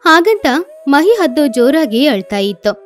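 A woman's voice: high-pitched, very animated, measured pace. A woman narrating a scripted children's story.

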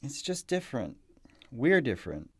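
An actor playing a needy, eager praying mantis boyfriend in an animated film, talking in a pleading, excitable, naturalistic way. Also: male voice, medium pitch, moderate pace, breathy edge, no echo. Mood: pleading, frustrated.

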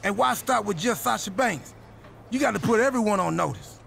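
An actor playing a goofy, excitable male wrestler in a video game cutscene, talking in an animated, playful, jokey way. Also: high voice, brisk, gravelly, a little hiss or hum, full character voice.

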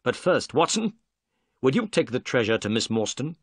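A man reading a storybook, voicing a calm, commanding male detective in a measured voice. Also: medium pitch, breathy edge, dry recording, clean studio sound.

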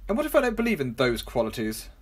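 Woman playing a husky, earnest gentleman hero. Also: extremely low pitch, huge pitch swings, fast, full character voice. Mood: grave. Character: earnest, polite, reserved gentleman hero on the run. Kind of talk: homemade radio play acting.

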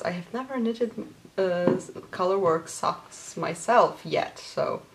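A Polish-born woman in her thirties chats slowly and casually to the camera. She hosts a knitting podcast, filling gaps with small sounds and soft laughs. She is seated in a small home craft room. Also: mid-range voice. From Poland. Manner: chatty, with many fillers and small laughs.

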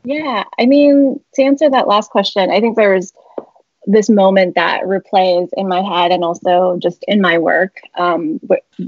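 Young woman guest, faintly husky, rambling and laughing, muffled call audio, dry home room. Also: mid-range voice, steady tone, unhurried, dry recording. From San Francisco Bay Area. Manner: rambling, many fillers and laughs. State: slightly raspy voice. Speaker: interview guest, publisher. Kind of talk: casual video-call interview. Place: home room with shelves, indoors. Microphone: laptop webcam video call.

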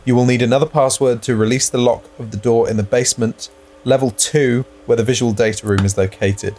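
A man's voice: mid-range voice, fairly even intonation, a little fast, darkish tone, a little hiss or hum. A man co-hosting a let's-play, speaking in an informal, conversational way. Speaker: gaming show co-host. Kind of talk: casual let's-play chat.

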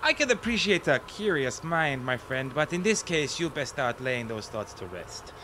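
An actor playing a secretive, fussy doctor in a comic radio play, halting and repeating himself. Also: male voice, mid-range voice, sing-song, close to an everyday voice. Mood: condescending calm. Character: fussy, secretive male asylum doctor, easily panicked. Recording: noisy background under the voice.

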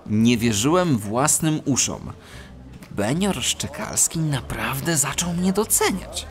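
An actor playing an earnest, eager cat detective hero who also narrates a children's audio drama. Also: male voice, mid-range voice, animated, slow pace, over music. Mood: reflective. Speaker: narrator and main character.

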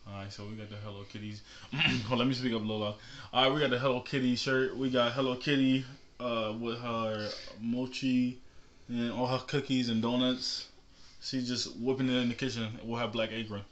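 A man's voice: medium pitch, little pitch movement, a little fast, a bit bright, highs cut off. A young man co-hosting a haul vlog with casual, laid-back, joking chat, recorded on camera in a small room. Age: young adult. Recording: noisy, echoey small room.

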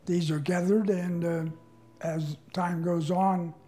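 An elderly man in his eighties speaking with halting, measured phrasing in a documentary interview. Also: high voice, steady tone, dark tone. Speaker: museum treasurer, interviewee. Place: indoors, wood-panelled room. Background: faint instrumental music.

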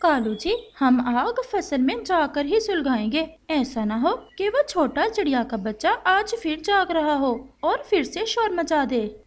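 A spiteful crow wife in a cartoon fable, played by a woman. Her high voice rises and falls dramatically as she plots with eager, gloating impatience. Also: very animated, unhurried, hardly any pauses. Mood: conspiratorial.

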